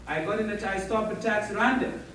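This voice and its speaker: Ethiopian man, high voice, storytelling speech, caught from afar in a big echoing room, faint hiss. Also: fairly bright tone. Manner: anecdotal, halting, non-native phrasing. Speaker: speaker at a community meeting. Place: large echoey meeting hall. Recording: echoey hall, mic far off, faint hiss.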